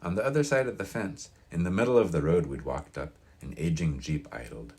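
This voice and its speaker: A man reading fiction aloud as an audiobook narrator, in an expressive scripted style. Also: deep voice, fairly quick.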